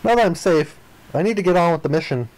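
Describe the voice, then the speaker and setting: A man reading the narration and dialogue of a visual novel aloud in an expressive style. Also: a little high, quick pace, slight background noise, rough recording.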